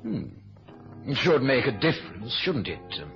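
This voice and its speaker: A man playing a genial older British politician in a 1970s radio drama, urbane and polite with gentle hesitations and a husky voice. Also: mid-range voice, very animated, moderate pace, faint music behind. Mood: sly, genial. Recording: muffled, lo-fi old radio recording.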